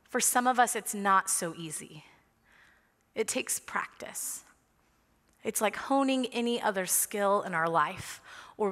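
A woman's voice: mid-range voice, a bit bright. A woman, preaching a sermon from a church stage in an earnest manner with light humor. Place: large church sanctuary stage. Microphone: headset mic. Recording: long reverb of a large sanctuary.

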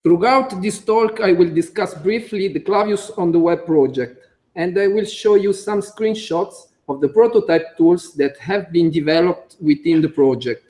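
A man with a strong Italian accent, a computer engineer giving a conference talk read from notes, careful and halting with frequent fillers. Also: high voice, darkish tone. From Italy. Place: conference room.

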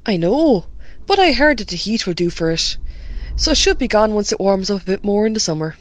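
A woman's voice: mid-range voice, lively intonation, brisk, natural voice. An Irish woman playing a chatty rural committee member in an amateur radio drama. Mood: matter-of-fact.

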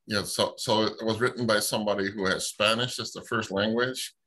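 A man's voice: lowish voice, steady tone, fairly quick, bright, forceful tone. A man whose first language is Dutch, speaking casually on a video call, hesitant with fillers. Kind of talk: casual meeting chat.

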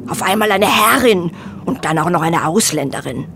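Chatty servant, noisy background. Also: female voice, lowish voice, animated, normal speed, vocal fry, a bit bright. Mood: earnest dismay. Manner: earnest, gossipy, deferential. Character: chatty, loyal female household servant. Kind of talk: German audio drama acting.

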